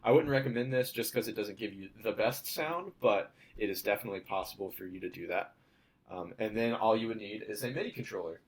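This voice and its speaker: A young man, a student, walking through a demo of his software project in an informal, step-by-step way. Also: fairly low pitch, brisk, slightly rough. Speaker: student presenting a class project.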